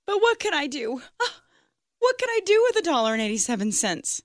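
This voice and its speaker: A man voicing a young, loving wife who is anxious and pleading, in a soft, high falsetto. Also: sing-song, a little fast, dry recording, clean studio sound.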